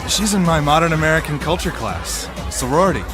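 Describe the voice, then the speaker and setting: A man's voice: on the high side, expressive, fairly quick, crowd noise, natural voice. An actor playing a brash, girl-chasing young college student in an audio drama, slangy, casual and eager. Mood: eager, joking. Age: young adult. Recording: music behind the voice.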